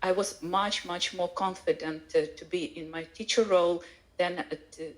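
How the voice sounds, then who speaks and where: A woman speaking non-native English with a foreign accent, a language teacher giving a personal account haltingly, searching for words. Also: on the low side, fairly even intonation, rough recording. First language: not English. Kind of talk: personal account at a live online event.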